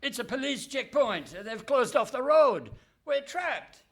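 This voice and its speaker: A man playing a feeble, whining, excitable villain's sidekick in a comic radio play. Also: very high voice, unhurried, slight background noise, heavily acted. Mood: alarmed. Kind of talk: Goon Show style radio comedy acting. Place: live performance on stage in a hall.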